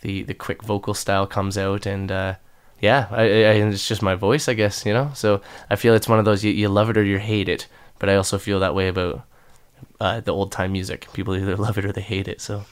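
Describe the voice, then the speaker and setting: A man's voice: fairly low pitch, little pitch movement, fairly quick, clean tone. A young man with a slightly nasal voice, a musician answering interview questions in a loose, rambling way with many fillers and restarts. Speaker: musician guest.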